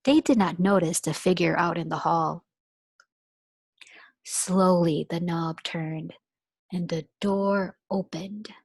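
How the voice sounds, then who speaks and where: Brief: expressive teacher reading aloud to little kids, slow pace, dry close sound. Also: female voice, lowish voice, slightly rough, dry recording. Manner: expressive, storytelling. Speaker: pre-kindergarten teacher. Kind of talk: children's read-aloud.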